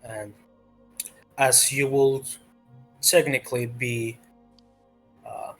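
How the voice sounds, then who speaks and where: A man acts out a restrained guard commander in a tabletop fantasy game. He speaks slowly and haltingly, stern yet patient and formal. Music plays behind him. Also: mid-range voice, fairly even intonation.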